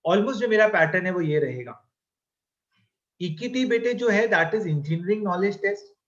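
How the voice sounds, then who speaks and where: A man's voice: high voice, animated, slow pace, lo-fi sound. A male exam-coaching teacher lecturing a class, mixing Hindi and English and fondly calling his students "beta". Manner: checks in with the class often.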